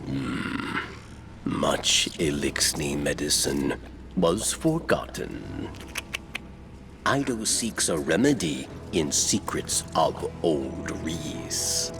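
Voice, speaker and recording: Super deep gravelly alien, clipped little phrases, slow, music in back. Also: male voice, very animated, exaggerated voice. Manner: terse broken phrasing, creaky. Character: old gravelly alien advisor. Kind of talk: game cutscene acting.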